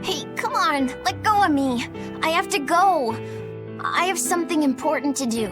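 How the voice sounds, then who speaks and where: A woman voicing a caring young boy, a gentle and earnest little brother, in a fantasy game. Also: high-pitched, animated, moderate pace, over music. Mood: earnest, eager. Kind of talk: dubbed fantasy game acting.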